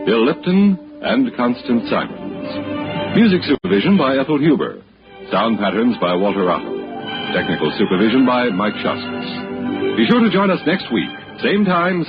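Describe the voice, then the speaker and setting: An American man announcing in a polished, formal 1960s radio style. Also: medium pitch. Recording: muffled old radio broadcast, music behind. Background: music.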